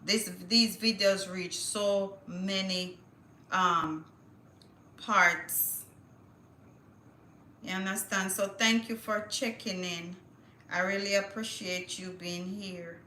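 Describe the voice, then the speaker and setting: Bright-voiced woman livestreaming. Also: mid-range voice, steady tone, takes it slowly, long pauses, reverberant, slight background noise. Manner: chatty, thinks aloud, reads with stumbles. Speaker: livestream host. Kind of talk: casual livestream chat, reading news aloud.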